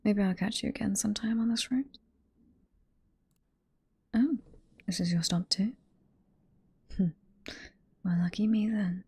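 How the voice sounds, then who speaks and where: Casting: actress as teasing older female librarian, British accent, ASMR roleplay. Hushed, very airy whisper, slow and sweet. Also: mid-range voice, no echo. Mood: warm, curious. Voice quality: soft, breathy whisper.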